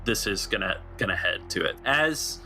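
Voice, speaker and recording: A man's voice: lowish voice, expressive, normal speed, creaky, pushed and bright. A man narrating an improvised tabletop game as game master, often repeating words as he thinks. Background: faint music.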